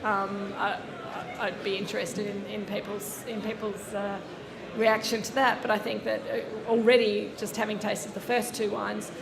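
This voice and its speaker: A woman's voice: medium pitch, flat delivery, moderate pace, creaky, hardly any pauses, a crowd in the background. A woman moderating a wine panel discussion, thoughtful and hesitant, with many fillers and restarts. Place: seminar room with live audience. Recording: echoey room with audience.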